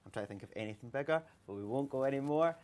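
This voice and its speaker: A man's voice: medium pitch, very fast, a little mellow. A young Scottish man hosting a livestream interview, chatty and upbeat with plenty of ums. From Scotland. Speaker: livestream host. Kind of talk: casual interview chat.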